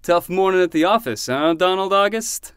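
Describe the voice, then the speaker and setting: A man's voice: high voice, lively intonation, moderate pace, fairly bright tone, clean tone, no room sound, studio quality. A man playing an indulgent, affectionate uncle with a wry, playful manner in a fiction podcast. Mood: teasing. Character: indulgent uncle playing bartender for his nephew. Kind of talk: naturalistic fiction podcast acting.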